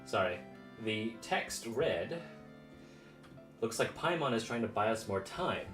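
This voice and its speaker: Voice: medium pitch, very animated, takes it slowly, a bit bright, faint music behind. A game streamer giving casual, informal, slangy live commentary and reading game text aloud.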